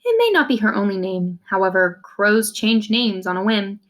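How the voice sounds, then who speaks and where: A woman's voice: mid-range voice, lively intonation, moderate pace, fairly bright tone. A woman reading a children's novel aloud like a teacher, expressively, in the first person, with simple explanations for young listeners. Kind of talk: read-aloud storytelling with brief explanations.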